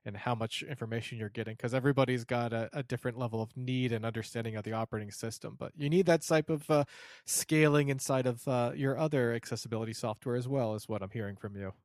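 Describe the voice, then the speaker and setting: A man hosts a relaxed conversation, summing up his guest's points and adding his own thoughts. His voice is at an ordinary middle pitch. The sound is dry and close, with no room echo. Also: quick pace. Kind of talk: casual conversation.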